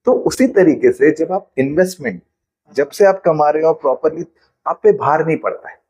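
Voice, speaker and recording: A man's voice: fairly high pitch, expressive, moderate pace, darkish tone. A man, a financial planner patiently explaining money matters with everyday examples in an interview.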